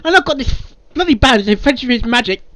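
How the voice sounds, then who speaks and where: A person giving casual, unscripted reaction commentary in an excitable, halting way, often repeating words. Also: mid-range voice, no room sound. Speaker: reaction video maker reviewing a fan fiction reading.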